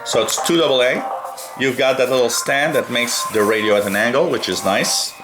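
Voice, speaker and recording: A man's voice: medium pitch, fairly quick, music in the background. A man giving a casual, unscripted product review in an explanatory way, going back over his points. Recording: echoey room with faint background noise.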